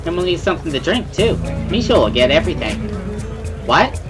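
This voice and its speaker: A man's voice: a little high, big pitch swings, fairly quick, voice pushed up. A man voicing a cheerful, chatty teenage schoolgirl in a dramatic reading of a visual novel, with a bubbly, friendly manner. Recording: music behind the voice.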